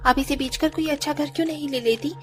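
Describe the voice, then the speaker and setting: A woman's voice: high-pitched, steady tone, fast talker, over music, close to an everyday voice. A woman voicing a young, spoiled married daughter in a cartoon, speaking haughtily and complaining. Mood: scornful.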